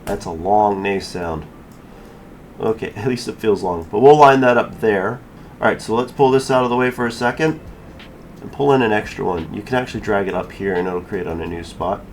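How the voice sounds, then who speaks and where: Low-voiced man giving a casual tutorial. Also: brisk, slight background noise. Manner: informal, thinking aloud while working. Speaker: tutorial creator. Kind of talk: casual screen-recorded software tutorial.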